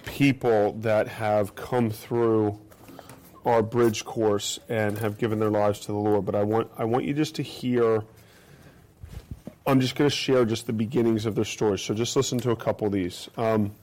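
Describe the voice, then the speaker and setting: A man leading a church seminar, talking to the room in a relaxed way with some fillers and restarts. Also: on the low side, moderate pace. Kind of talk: seminar teaching, reading testimonies aloud. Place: church or meeting room with an audience.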